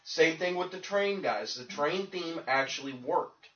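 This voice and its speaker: A man's voice: a little high, expressive, unhurried, sharp tone, recorded from a distance. Rambling man off-mic in an echoey room. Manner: rambling, filler-heavy, defensive. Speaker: podcast co-host. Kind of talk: casual chat. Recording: dull, echoey sound from off the mic.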